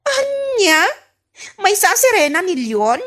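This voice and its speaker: A woman's voice: very high voice, big pitch swings, slow pace, a bit bright, exaggerated voice. A woman playing a naive, incredulous young village woman in an Ilocano radio comedy drama, with an Ilocano accent and an exclaiming manner.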